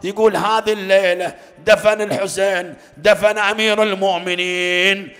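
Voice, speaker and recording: A man reciting a religious lamentation in a mournful, dramatic storytelling style with drawn-out, tearful emphasis. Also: high voice, level tone, a bit bright. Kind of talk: Shia lamentation sermon, dramatic storytelling with chanted passages. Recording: echoey hall with long reverb.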